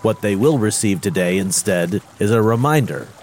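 Man as low, ominous monster-tale narrator. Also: animated, darkish tone, slight background noise. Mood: ominous, solemn. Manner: ominous, measured, portentous. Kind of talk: scripted dramatic narration.

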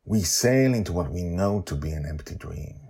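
A man voicing a wistful, forgetful grandfather who narrates a fantasy adventure in measured, simple phrases. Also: sits low, lively intonation, vocal fry, warm tone, slightly rough, full character voice. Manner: measured, simple present-tense storytelling.

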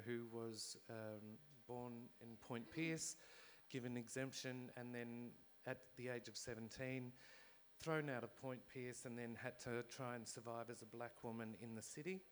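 A man's voice: mid-range voice, steady tone, slight background noise. Reflective man on a panel. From Australia. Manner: reflective, measured, with pauses. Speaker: panelist, dance and theatre artist. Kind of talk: panel discussion.

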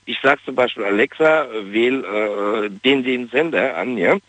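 A man's voice: medium pitch, unhurried, fairly bright tone, no echo. A male caller with a regional German dialect, chatting enthusiastically with lots of fillers, heard over a phone line. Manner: enthusiastic, many "äh" fillers and "ja?" tags.

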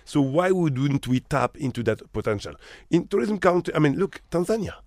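A man's voice: fairly high pitch, big pitch swings, normal speed, darkish tone, no echo, studio quality. A man from Morocco who manages hotels, talking as an interview guest with emphatic rhetorical questions.

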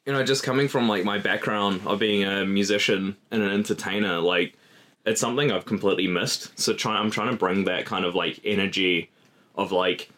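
A man's voice: fairly low pitch, a little fast, fairly bright tone. A man from England, a guest streamer, chatting casually in an interview and rambling with lots of filler words.

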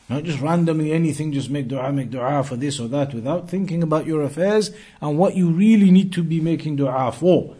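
Male religious teacher, slightly high voice, lesson delivery, deliberate, emphatic repetition, reads text then explains. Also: a little mellow. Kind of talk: Islamic lesson, reading Arabic text then translating and explaining.

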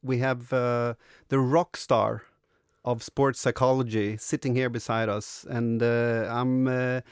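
A man's voice: medium pitch, flat delivery, slow, clean tone, no echo, clean studio sound. A man with a Swedish accent hosting a podcast in English, hesitant with many fillers. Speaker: podcast host. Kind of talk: casual podcast interview.

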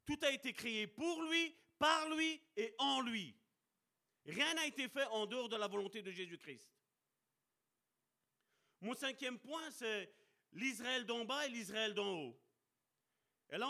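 Male preacher, high voice, lively swooping delivery, impassioned sermon, drawn-out emphasis. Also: creaky. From Italian heritage. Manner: impassioned, addresses congregation as brother and sister; slows down to stress words.

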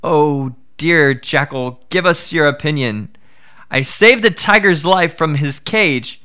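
An anxious Brahmin argues his case in earnest, as a man reading a folk tale puts him on. He speaks slowly and a little higher than usual. The voice is bright and pleading. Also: animated, clean tone, full character voice.

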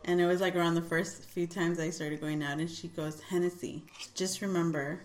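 A woman in her late twenties chatting with friends, unhurried and teasing, laughing often. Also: on the low side, steady tone, reverberant. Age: 20s. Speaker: guest friend. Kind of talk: casual chat among friends.

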